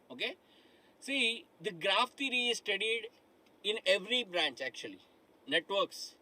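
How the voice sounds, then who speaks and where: A man's voice: high-pitched, bright, forceful tone, low-quality recording. A middle-aged man teaching a live online lecture, who repeats himself, asks the class questions and keeps calling them "my dear friends". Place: studio in front of a dark digital board.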